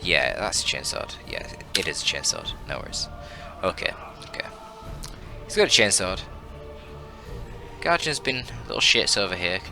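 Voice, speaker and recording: A man's voice: low voice, huge pitch swings, moderate pace, vocal fry, music in the background. A man with a bunged-up voice giving casual, sweary, rambling commentary on a video game match with joking asides. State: congested, bunged-up voice.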